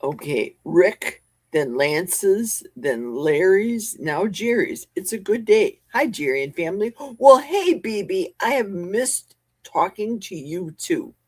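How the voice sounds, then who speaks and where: A woman's voice: medium pitch, big pitch swings, slow pace, breathy edge, no room sound. A woman hosting a casual livestream from her home basement, chatty and jokey as she answers viewers' comments. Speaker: livestream host. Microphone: desk mic with a cough button.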